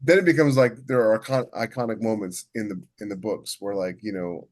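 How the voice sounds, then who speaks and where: Guy w a lowish voice chatting on a writing podcast, keeps restarting sentences as he thinks out loud. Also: steady tone. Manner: halting, thinks aloud with many repeated false starts. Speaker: podcast co-host and science fiction author. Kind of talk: casual chat about book writing.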